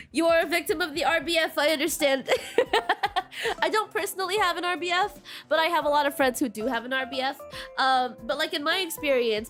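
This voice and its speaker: High-voiced giggly woman streamer. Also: fairly bright tone. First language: Mandarin. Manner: giggly, rambling, many fillers. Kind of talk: casual chat with viewers.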